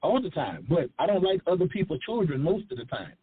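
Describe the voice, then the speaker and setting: A man's voice: on the high side, sing-song, a little fast, breathy edge, no echo. A middle-aged man chatting casually as a talk show panelist, playful and slangy, repeating phrases for emphasis. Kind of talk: casual chat. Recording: muffled, narrow phone-like audio.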